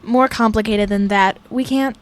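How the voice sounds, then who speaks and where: A woman acts out an anxious school-age child for radio drama. The voice sits somewhat high and sounds earnest and cautious, turning halting under pressure. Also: steady tone, close to an everyday voice. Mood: nervous, firm.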